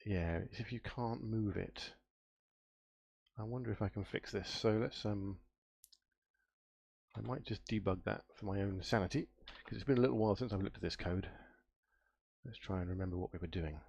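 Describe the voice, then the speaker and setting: A man with a somewhat low voice and a gravelly edge, a little nasal from a cold. He talks through his game code on a live stream in a relaxed way, muttering, trailing off and spelling things out as he hunts through it. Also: moderate pace, pauses often, no room sound, highs cut off. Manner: muttering to himself, trailing off and spelling words out while searching. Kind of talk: casual thinking-aloud coding stream.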